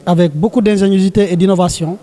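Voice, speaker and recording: High, soft-toned Senegalese man, deliberate. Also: steady tone. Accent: Senegalese French. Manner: deliberate, hesitant, repeats phrases for emphasis. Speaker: local elected official, mayor. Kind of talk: interview statement, oratorical.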